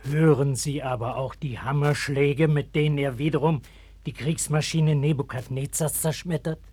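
Solemn, unyielding prophet. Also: male voice, fairly high pitch, steady tone, darkish tone, husky. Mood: fervent, prophetic. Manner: solemn, measured, declamatory. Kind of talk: German radio play acting. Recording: early 1960s vinyl radio-play record.

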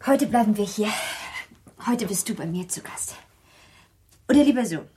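An actress playing a curious, sensitive young aristocratic bride in a German radio play, with a wondering, softly expressive and at times breathy delivery. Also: female voice, mid-range voice, normal speed, a little hiss or hum, close to an everyday voice. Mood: playful, thoughtful.

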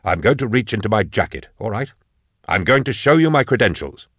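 A man's voice: on the low side, big pitch swings, a little fast, a bit bright, breathy edge, dry recording, studio quality, exaggerated voice. A man voicing a cool, authoritative male investigator in an audiobook, speaking in a terse, controlled way. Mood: calm, guarded. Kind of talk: audiobook character dialogue.

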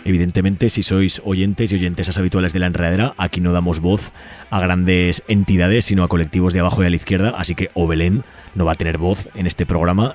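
Deep-voiced Spanish man hosting radio. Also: darkish tone. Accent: Spain. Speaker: radio host. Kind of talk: conversational radio interview.

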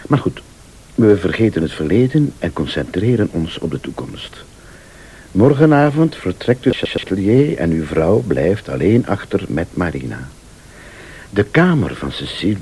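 Radio thriller, insistent accuser: low, slightly husky, deliberate, theatrical, insinuating. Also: male voice, a little mellow, heavily acted. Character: male late-night accuser, shrewd, insistent, laying out a murder plot. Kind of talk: Dutch-language radio thriller acting.

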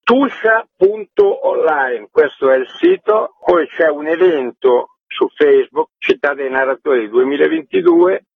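Gravelly man on a thin phone line. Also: a little high, huge pitch swings, unhurried, hardly any pauses, no echo. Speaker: festival director and filmmaker, interview guest. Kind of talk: promotional radio interview, enthusiastic listing. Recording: phone-like line with faint noise.

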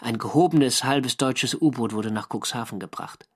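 Guy playing a weary navy boat commander reading out his war diary, mid-pitched, dry and literary, radio play. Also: moderate pace, slightly rough, lightly acted. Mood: matter-of-fact. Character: German navy minesweeper skipper narrating his wartime diary, weary. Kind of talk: scripted diary narration in a radio drama.

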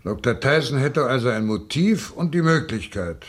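Shrewd cop in radio krimi, raspy medium voice, terse, dry, matter of fact. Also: male voice, mid-range voice, very animated, moderate pace. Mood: shrewd, probing. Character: dry, probing male police inspector, calm and shrewd. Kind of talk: German radio crime drama acting.

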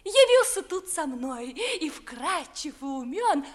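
A woman's voice: high voice, big pitch swings, slow pace, heavily acted. An actress playing a headstrong, dreamy young noblewoman in love, declaiming verse in 1950s theatrical radio style. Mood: breathless, dreamy.

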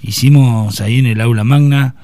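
A man's voice: mid-range voice, moderate pace, dark tone, no echo. A man in his fifties with an Argentine accent, telling his life story as an interview guest, with many hesitations and restarts. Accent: Argentine Spanish. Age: 50s. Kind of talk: casual interview.

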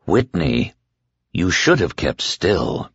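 A man voicing a sinister dark man who speaks softly, smoothly and menacingly, passing sentence. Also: on the low side, sing-song, moderate pace, no echo, muffled recording. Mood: silky menace. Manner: soft, silky, near-whispered menace.

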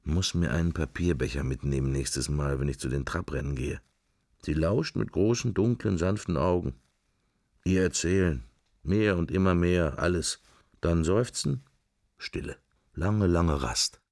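An actor playing a brooding, furtive middle-aged man in a radio drama, murmuring his drifting, sensual thoughts in fragments with a gravelly, husky voice. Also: low voice, measured pace, a little mellow, no echo, studio quality. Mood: dreamy, languid. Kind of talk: radio drama interior monologue.

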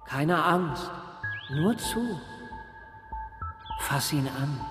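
An actor playing an enigmatic magician in a radio drama, making cryptic, soothing yet ominous pronouncements over music. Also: male voice, on the high side, animated, extremely slow. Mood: coaxing. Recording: music under the voice.

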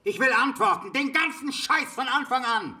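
Husky, bullying police inspector. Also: male voice, very high-pitched, expressive, normal speed, sharp tone, full character voice. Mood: angry, contemptuous. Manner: gruff, cursing, hammering repetition. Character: coarse, bullying police inspector, contemptuous and impatient. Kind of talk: radio drama acting.

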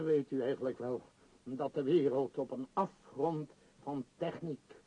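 An actor playing a powerful middle-aged tycoon in a radio play, bitter and declamatory, longing for lost freedom. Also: male voice, on the high side, a little mellow, husky, muffled recording, full character voice. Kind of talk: theatrical radio play acting.

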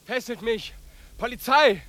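Radio drama: tormented female self-accuser, high register, desperate, declamatory. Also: a little slow, fairly bright tone. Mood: desperate, self-accusing. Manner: frantic, declamatory. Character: guilt-ridden, desperate self-accuser begging to be bound.

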